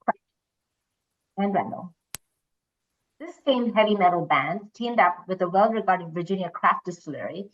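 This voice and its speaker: A woman hosts a quiz over a slightly muffled, lo-fi connection. She switches between reading out questions and casual moderating, in an average-pitched voice. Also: a bit bright.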